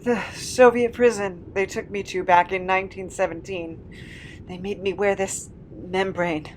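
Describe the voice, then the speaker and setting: A slow-talking actress playing a weary captive in an audio drama. She is frightened and pleading, speaking in halting phrases. There is background noise and ambience behind her. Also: mid-range voice, steady tone, close to an everyday voice, low-quality recording. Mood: bitter. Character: weary, frightened captive with a draining supernatural hunger.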